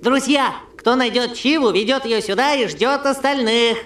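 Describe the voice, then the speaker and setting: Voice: medium pitch, normal speed, close to an everyday voice. An actor voicing an earnest, bookish young male monkey in a children's cartoon, explaining things a little uneasily. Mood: businesslike. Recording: echoey, loud music behind.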